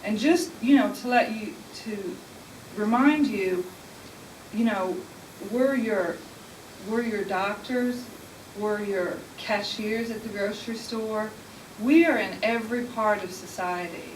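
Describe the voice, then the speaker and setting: A woman's voice: mid-range voice, slow pace. A woman speaking sincerely on a panel in a conversational way, often restarting her sentences. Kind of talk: panel discussion. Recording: echoey room, distant mic, hiss.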